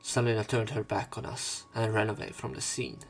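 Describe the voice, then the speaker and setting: A man's voice: fairly low pitch, steady tone, a little fast, creaky, soft background music. A man doing a let's play, reading a visual novel's narration and dialogue aloud.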